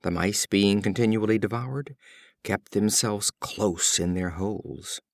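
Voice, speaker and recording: A man reading fables as a scripted audiobook narration, in a measured storybook manner. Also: on the low side, no echo, studio quality. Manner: measured, storybook reading with acted character lines.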